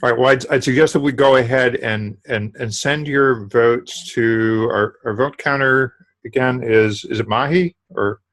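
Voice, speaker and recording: A man's voice: mid-range voice, unhurried, few pauses, a bit bright. A man running an online club meeting over a video call, often repeating words as he goes. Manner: stammering repeats. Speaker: meeting officer.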